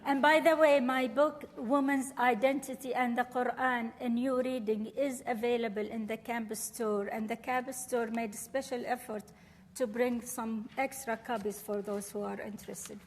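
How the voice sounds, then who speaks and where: A woman from Syria whose first language is Arabic, giving a measured, earnest university lecture and answering questions. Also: on the high side, fairly even intonation, big-room sound, slight background noise. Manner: measured, earnest, formal phrasing. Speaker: visiting scholar and lecturer. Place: lecture room.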